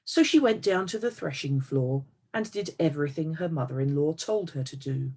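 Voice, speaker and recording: A woman reading a Bible story aloud as measured narrative, in short, deliberate phrases. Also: low voice, animated, darkish tone, husky. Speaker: scripture reader.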